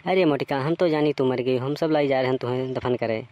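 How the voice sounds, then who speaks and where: A man's voice: mid-range voice, quick pace, a little mellow, natural voice. A man playing a grumbling, melodramatic village husband in a cartoon comedy, with theatrical, exclamatory Awadhi talk.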